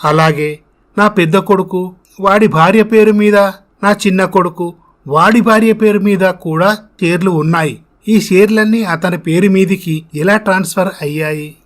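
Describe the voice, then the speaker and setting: A man's voice: sits high, moderate pace, close to an everyday voice. A man voicing a worried, humbled older family patriarch in a story, earnest and respectful.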